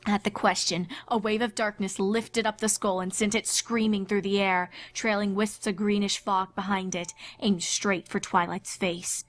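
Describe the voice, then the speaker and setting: Woman narrating with very even intonation. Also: mid-range voice, normal speed, few pauses. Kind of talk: scripted fan fiction horror narration.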